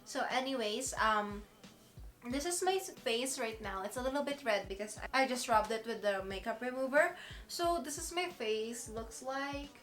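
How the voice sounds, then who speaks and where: A woman's voice: fairly high pitch, normal speed, a bit bright. A woman in her twenties with a Filipino accent, chatting casually and giggling as she talks about skincare. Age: 20s. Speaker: beauty vlogger. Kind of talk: casual chat to camera.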